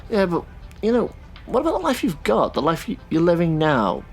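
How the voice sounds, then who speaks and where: A man's voice: a little high, huge pitch swings, moderate pace, soft background music. A man playing an easygoing but opinionated taxi driver with a casual, slightly hesitant delivery in a science fiction audio drama. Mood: disapproving.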